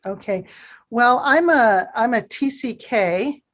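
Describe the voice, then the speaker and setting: A woman raised in Pakistan, answering interview questions in a reflective, measured way with frequent fillers. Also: fairly high pitch, expressive. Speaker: guest.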